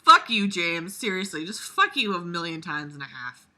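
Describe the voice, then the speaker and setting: A woman's voice: on the low side, big pitch swings, normal speed, sharp tone. A woman reading aloud theatrically and breaking off into exasperated, sweary, sarcastic asides, with an exaggerated delivery. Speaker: online reader reacting to a book.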